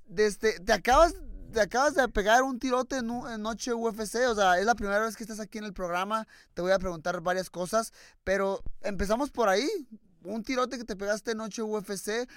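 A man's voice: sits high, a bit bright, clear, resonant tone, dry recording, clean studio sound. A young man with a Mexican accent hosting a casual interview show, chatty and full of slang, laughing easily. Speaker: host. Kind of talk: casual chat.

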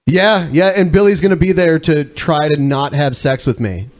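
A man's voice: on the high side, moderate pace, very noisy. A man hosting a live-streamed podcast in casual chat, blunt and often profane. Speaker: podcast host.

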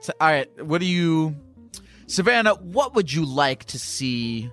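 A male podcast host chatting casually with callers. He stumbles through his questions, restarting and piling up fillers before landing on the point. Soft music plays faintly underneath. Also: on the high side, sing-song. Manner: halting, stammering questions with many restarts. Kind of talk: casual call-in chat.

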